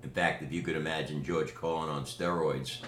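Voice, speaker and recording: A man's voice: very deep voice, steady tone, a bit bright. An older man from New York City with a New York accent, recording a boastful, emphatic video message at home. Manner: boastful, emphatic, slow deliberate delivery. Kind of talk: direct-to-camera video message. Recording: echoey room with faint ambient noise.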